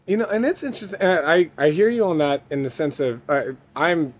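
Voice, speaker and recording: A man's voice: on the high side, lively intonation, fairly quick. A man with an American accent hosting a casual interview in a chatty, supportive, effusive manner. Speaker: interview host. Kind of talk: casual chat.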